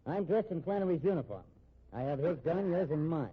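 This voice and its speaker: A man acting in a 1950s radio drama as a cornered fugitive gunman, sardonic and menacing, with a husky voice. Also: a little high, expressive, fairly quick. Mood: taunting, commanding. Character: cornered fugitive gunman, cool, taunting, protective of sister. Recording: muffled old radio recording.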